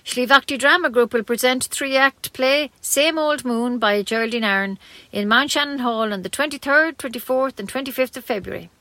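A woman's voice: sits high, normal speed, bright, forceful tone, no echo. A woman with an Irish accent reading scripted community radio news, occasionally stumbling and correcting herself.

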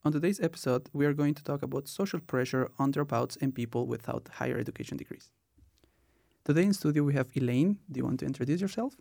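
Creaky-voiced young man hosting a podcast. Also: mid-range voice, quick pace, mellow, dry recording, clean studio sound. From Colombia. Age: 20s. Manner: many "uh" and "um" fillers while telling his own story. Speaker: podcast host. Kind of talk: casual podcast talk.

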